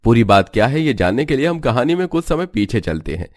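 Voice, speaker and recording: A man's voice: medium pitch, big pitch swings, a little fast, no echo, clean studio sound. A man narrating a scripted cartoon story.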